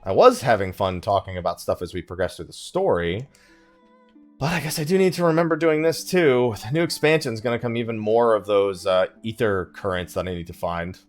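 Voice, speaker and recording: A man's voice: fairly low pitch, animated, a little fast, faint music behind. A man giving casual let's play commentary as a video creator, rambling and thinking aloud, often sidetracking himself. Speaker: gaming video creator and live streamer.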